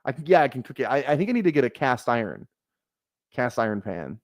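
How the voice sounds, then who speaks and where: A man's voice: medium pitch, sing-song, quick pace. A man in his mid-twenties talks loosely to his stream chat, wandering off track, swearing freely and laughing at himself. His voice sits in an ordinary middle range. The sound is dry and close, with no room echo. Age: 20s. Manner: rambling, laid-back, swears often, laughs at himself. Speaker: fantasy football streamer. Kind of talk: casual livestream chat. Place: small apartment room.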